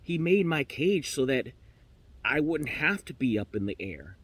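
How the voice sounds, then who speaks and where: A man's voice: mid-range voice, very animated, measured pace, a bit bright, slightly rough, full character voice. A man voicing a timid, sheltered female pet canary in a storybook tale, stammering, flustered and devout. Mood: earnest, defensive.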